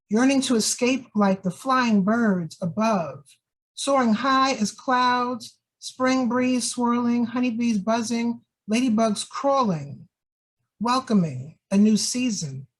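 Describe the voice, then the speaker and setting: A woman from Queens, New York, with a New York accent, chatting and reading her poetry gently. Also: fairly high pitch, husky, low-quality recording. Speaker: poet.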